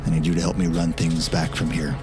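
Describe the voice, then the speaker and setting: A man acts out a stern war leader with a very low voice. He is grave and deliberate, every inch in command. Loud music plays under this fantasy audio drama. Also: level tone. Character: stern, commanding male military leader giving battle orders.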